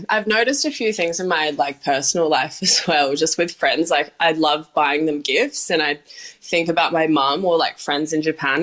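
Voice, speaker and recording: Low-voiced young woman, chatty. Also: a bit bright. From Australia. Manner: chatty, many "like" and "um" fillers, laughs often. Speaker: bar manager and junior sommelier, guest. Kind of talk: casual interview talk.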